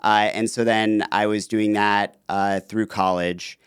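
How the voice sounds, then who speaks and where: A man's voice: lowish voice, level tone, slow pace, smooth, no room sound, clean studio sound. A man in his thirties, a podcast guest telling stories in a chatty, casual way, with lots of "like" and "you know". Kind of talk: casual interview chat.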